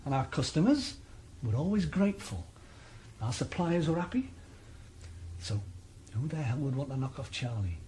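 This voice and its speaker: Male actor as a calm, threatening crime boss; understated radio-play menace. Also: medium pitch, normal speed, pauses often, a little hiss or hum, natural voice. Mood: menacing. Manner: menacing, matter-of-fact. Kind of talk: radio drama acting.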